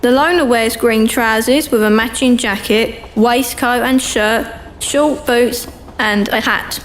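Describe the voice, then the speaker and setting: A woman narrates a radio play from a script. Her voice is high-pitched. Some noise can be heard behind it. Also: slow. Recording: noticeable background noise.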